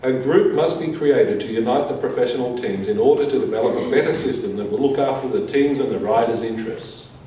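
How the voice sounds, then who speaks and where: A man reading a prepared statement aloud as a conference speaker, in measured, deliberate short phrases. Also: mid-range voice, mellow. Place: reverberant conference room. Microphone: distant from the speaker. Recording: echoey room, distant mic, faint noise.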